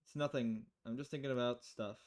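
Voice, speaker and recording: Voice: mid-range voice, fairly even intonation, a little fast, a little mellow, lightly acted. A performer voicing a stressed, distracted young female bartender who rambles worriedly in visual novel dialogue. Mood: fretful.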